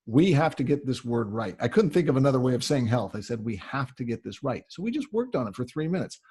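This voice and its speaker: A Canadian man coaching on public speaking, explaining things in an emphatic, conversational way with a slightly husky voice. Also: mid-range voice, very animated, fairly quick, mellow. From Canada (Ontario). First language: English. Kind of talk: conversational advice answering audience questions.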